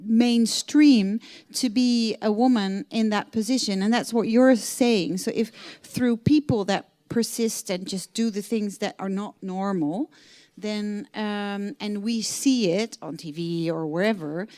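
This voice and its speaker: A woman's voice: mid-range voice, measured pace, mellow. A woman from the Netherlands speaking on a panel, hesitant and searching for words. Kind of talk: panel discussion remarks.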